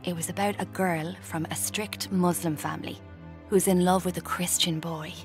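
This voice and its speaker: A woman's voice: fairly low pitch, steady tone, moderate pace, soft background music, lightly acted. An actress playing a restless would-be writer in her twenties with an Irish accent, confiding drily in a radio drama. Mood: wry, confiding. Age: 20s. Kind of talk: radio drama, first-person confiding narration and dialogue.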